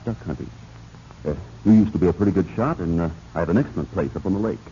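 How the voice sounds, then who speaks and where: An actor playing a scheming, cold-blooded office subordinate in a 1940s radio drama. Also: male voice, deep voice, animated, quick pace, mellow. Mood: guarded, casual. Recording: dull, noisy 1940s radio recording.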